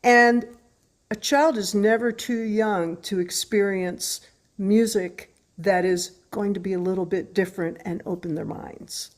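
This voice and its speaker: A woman's voice: medium pitch, fairly even intonation, measured pace. An older American woman, a musician speaking casually as an interview guest.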